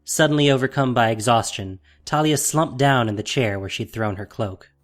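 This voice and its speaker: A man's voice: mid-range voice, moderate pace, soft background music. A man narrating a fantasy audio drama from a script, as its storyteller.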